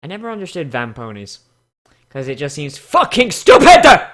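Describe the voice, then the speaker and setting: Higher-pitched man, sweary and mocking. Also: wildly swooping pitch, normal speed, fairly bright tone. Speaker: host. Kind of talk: comic reading with profane commentary.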